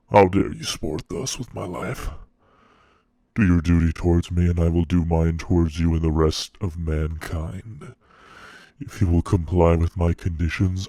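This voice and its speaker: A man performing a dramatic monologue as a wretched, bitter monster pleading with his creator, solemn and theatrical with halting pauses. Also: low-pitched, warm tone, dry recording. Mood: indignant, threatening.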